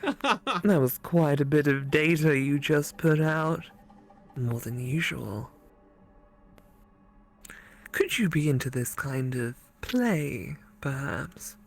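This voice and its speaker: A woman voicing a teasing, studious female ghost classmate in a visual novel, speaking deliberately and articulately. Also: deep voice, lively intonation, creaky, exaggerated voice. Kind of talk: visual novel character read aloud for a let's play.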